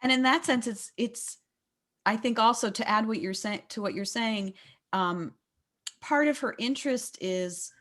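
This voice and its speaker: A woman's voice: fairly high pitch, a little slow, a bit bright. A woman leading a book discussion in a conversational way, often repeating words as she forms a point. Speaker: discussion leader at a book talk.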